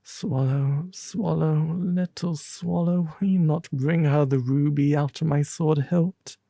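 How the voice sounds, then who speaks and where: A performer voicing a gentle, sorrowful prince statue from a fairy tale, tender and pleading. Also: medium pitch, steady tone, slow pace, vocal fry, dry recording.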